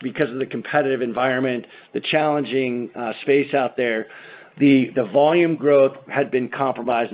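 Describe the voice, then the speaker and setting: A man with an American accent, speaking as a company executive, explaining business details methodically on an earnings call. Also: mid-range voice. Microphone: conference call phone line. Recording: muffled narrow phone-line sound.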